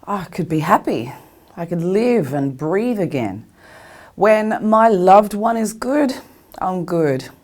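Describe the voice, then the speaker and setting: A woman's voice: medium pitch, expressive, slow pace, darkish tone. A woman carer giving reflective personal testimony, often repeating words for emphasis. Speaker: carer sharing personal experience.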